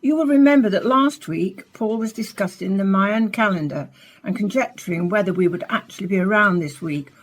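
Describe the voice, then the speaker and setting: A composed, inquisitive woman played by an actress presents a science programme in a radio drama. Her voice is medium in pitch with a slightly husky edge, and her phrasing is polished and formal. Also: moderate pace, close to an everyday voice, poor audio. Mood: bright, presenterly. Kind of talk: scripted radio play, science broadcast delivery.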